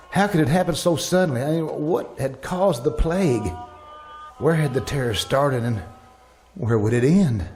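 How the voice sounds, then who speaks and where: A man playing an anxious college professor in an old-time radio thriller, earnest and halting. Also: medium pitch, a little mellow, lightly acted. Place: live staged performance at a winery.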